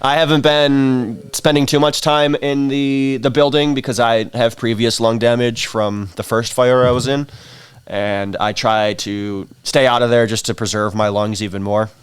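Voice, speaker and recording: A man's voice: medium pitch, steady tone, moderate pace, no room sound. A sleep-deprived man hosting a casual livestream in a rambling, informal, self-deprecating way, his voice tired. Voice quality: rough, tired voice. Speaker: livestream host, shop staff. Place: small dark indoor room.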